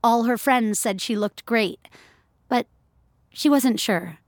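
A woman's voice: a little high, slow pace, no room sound, clean studio sound. A woman narrating scripted audio fiction in the third person.

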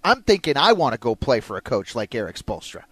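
A man's voice: medium pitch, sing-song, brisk, fairly bright tone, husky, no echo. An American man hosting a sports talk radio show, discussing games in a conversational way.

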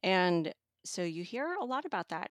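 A woman giving a conversational book review as a guest, thoughtful and pausing to find her words. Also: fairly low pitch, sing-song, dry recording, clean studio sound. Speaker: magazine editor reviewing an audiobook as guest.

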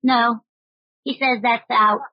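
A woman's voice: on the high side, fairly even intonation, slow. An actress playing a naive, starry-eyed young mother in a 1940s radio crime drama, gushing and bewildered in a childlike way. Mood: naive, puzzled. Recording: muffled old-time radio recording.

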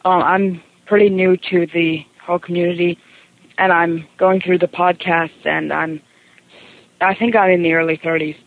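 A man's voice: high voice, level tone, normal speed. A man leaving a casual phone message as a caller, heard through a telephone. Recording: tinny narrow phone line.

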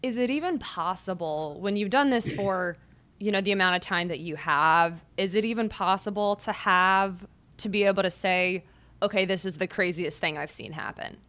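A woman's voice: medium pitch, moderate pace, a bit bright, no room sound. A woman beat reporter hosting a podcast, asking conversational questions with some restarts. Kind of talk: podcast interview.

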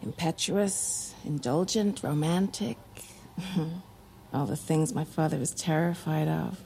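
Low, mellow, blunt young heiress. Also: female voice, slow, gravelly, a little hiss or hum, exaggerated voice, low-quality recording. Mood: wistful, playful. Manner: blunt, wry, unguarded. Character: blunt, free-spirited young rebellious heiress. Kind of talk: naturalistic screen drama acting.

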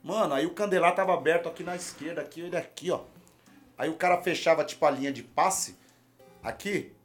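A man with a Brazilian accent, a retired footballer, telling animated stories in a casual chat and repeating his phrases. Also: a little high, fairly quick, soft background music. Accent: Brazilian Portuguese.

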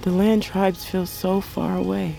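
A man's voice: high voice, level tone, unhurried, vocal fry, warm tone, faint music behind, exaggerated voice, low-quality recording. A man playing a haunted, sensitive but brave young fugitive in a fantasy audio drama. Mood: haunted, brooding.

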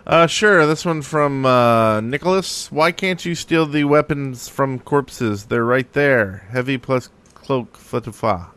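A man's voice: mid-range voice, takes it slowly, hardly any pauses, fairly bright tone, clean tone. A man talking casually as a co-host on a podcast. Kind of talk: casual chat.

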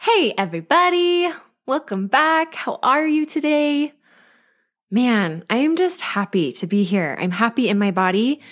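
A woman's voice: a little high, very animated, unhurried, fairly bright tone. A woman in her thirties, a mother and life coach, hosting her own podcast in an earnest, bubbly, chatty way. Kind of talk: casual solo podcast monologue.

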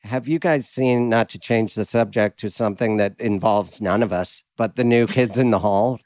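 A man co-hosting a podcast, bantering casually with dry, deadpan jokes. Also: on the low side, moderate pace, no room sound. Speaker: podcast co-host.

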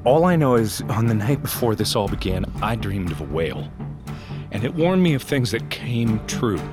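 Sleepless male survivor, audio drama: mid-pitched, very changeable melody, insistent and earnest over loud music. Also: very animated, normal speed. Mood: urgent. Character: desperate, sleepless man urgently convinced by prophetic dreams.

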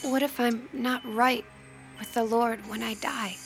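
A woman's voice: on the high side, steady tone, a little slow, faint music behind. An American woman playing a guilt-ridden, earnest eighteen-year-old girl in an audio drama, speaking hesitantly. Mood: troubled, guilty.

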